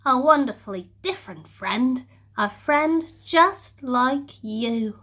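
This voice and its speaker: Warm-hearted, bouncy storybook princess, voiced by a woman. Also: high-pitched, animated, slow pace, full character voice. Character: loud, cheerful, kind young princess.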